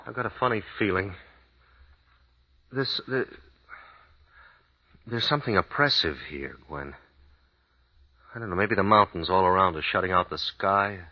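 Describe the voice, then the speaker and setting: An actor playing an uneasy American writer, who talks in a halting, hesitant way. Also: male voice, deep voice, animated. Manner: halting, hesitant, repeats words. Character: uneasy American writer husband, adult man. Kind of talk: old-time radio drama acting. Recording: dull lo-fi old radio recording.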